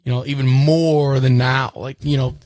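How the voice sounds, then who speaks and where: A man chats casually on a podcast in a loose, informal way. His voice is mid-pitched and the recording is dry, with no room sound to speak of. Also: level tone, normal speed, a little mellow, dry recording. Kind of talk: casual chat.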